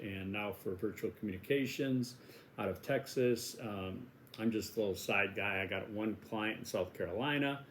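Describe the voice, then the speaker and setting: A man's voice: lowish voice, a little mellow, a little hiss or hum. A man in his sixties from Milwaukee with a Wisconsin accent, a college instructor chatting casually in a course welcome video, rambling and joking at his own expense. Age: 60s. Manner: rambling, chatty, self-deprecating jokes.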